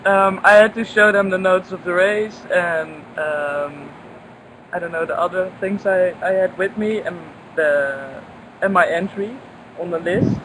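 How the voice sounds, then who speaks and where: A woman with a Dutch accent, a guest talking casually and haltingly about a sailing race. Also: lowish voice, a bit bright, a little hiss or hum, poor audio. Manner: hesitant, halting with restarts. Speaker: guest sailor. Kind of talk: casual chat.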